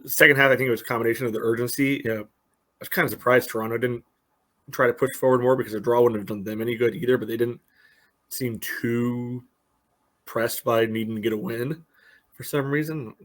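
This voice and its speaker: A man's voice: mid-range voice, fairly even intonation, a little fast, fairly bright tone. A man co-hosting a soccer podcast, giving casual game analysis peppered with filler phrases. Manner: peppers talk with "you know".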